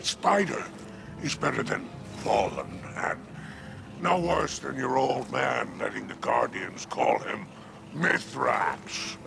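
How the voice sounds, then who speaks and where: A man playing a sly, shady alien crime boss in a video game, gravelly and sardonic with a slow drawl. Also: medium pitch, expressive, background noise, exaggerated voice. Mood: dry sarcasm. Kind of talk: video game character acting.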